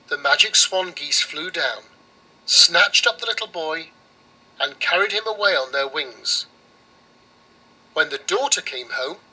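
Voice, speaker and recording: A man narrating a children's storybook in a measured, expressive way. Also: on the high side, slightly rough, rough recording.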